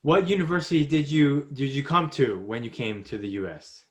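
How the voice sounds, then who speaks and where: A man's voice: mid-range voice, normal speed. A man hosting a podcast, asking friendly questions with small restarts. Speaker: podcast host. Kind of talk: interview host.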